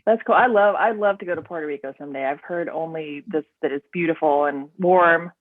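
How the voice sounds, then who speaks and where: A woman author talking about her books in a relaxed, rambling way, full of "um" and "like", over a remote call. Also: low voice, brisk, dry recording. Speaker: author guest. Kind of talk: casual interview chat. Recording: tinny dry phone line.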